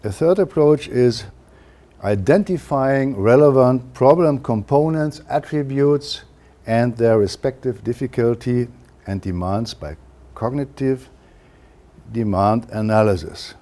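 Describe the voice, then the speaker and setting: A man with a German accent, a native German speaker giving a university lecture in a careful, halting way with frequent fillers. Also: medium pitch, expressive, dark tone. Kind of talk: academic lecture.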